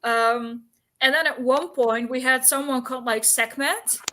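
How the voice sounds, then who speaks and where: A woman with a somewhat high-pitched voice talks casually, as if co-hosting a discussion. She explains things in a talkative, informal way, dropping in asides as she goes. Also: measured pace, sharp tone, a little hiss or hum. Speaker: book discussion co-host. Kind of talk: casual chat about books and history.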